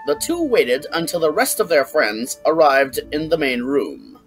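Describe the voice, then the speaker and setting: Male story narrator: higher register, unhurried, animated scripted read over background music. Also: rough recording. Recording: music behind the voice.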